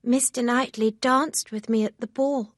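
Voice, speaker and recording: A woman reading an audiobook, voicing an excitable, naive young woman who talks in a bright, eager rush. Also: on the high side, measured pace, dry recording, studio quality, voice pushed up.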